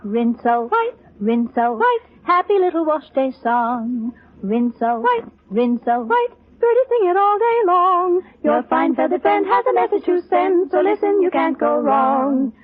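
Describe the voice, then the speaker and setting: A woman sings a cheerful 1940s advertising jingle in a very high, swooping voice. The broadcast sound is tinny and narrow. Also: sing-song, a little slow. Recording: tinny noisy old radio broadcast.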